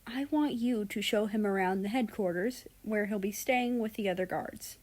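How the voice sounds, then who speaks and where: A woman's voice: medium pitch, moderate pace, a little mellow, natural voice. A woman voicing a stern older king and father from a story, speaking in a formal, authoritative manner. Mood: stern, instructing.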